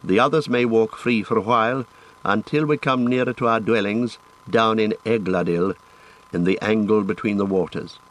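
A man reading an audiobook, voicing a stern male elf guardian who speaks in a measured, formal way. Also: lowish voice, natural voice, low-quality recording.

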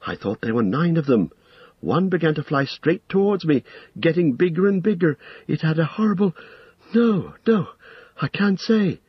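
Shrill, frightened young hobbit. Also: male voice, expressive, normal speed, husky, dry recording, highs cut off. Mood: hesitant, frightened. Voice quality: shrill, strained. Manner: stammering, hesitant. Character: frightened young male hobbit, shaken and pleading.

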